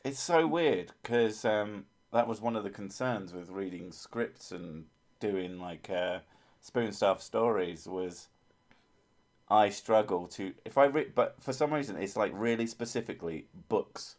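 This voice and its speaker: A man's voice: fairly low pitch, animated, measured pace. A man hosting a livestream in casual chat, rambling and self-mocking, with a voice others call soothing. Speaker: livestream host.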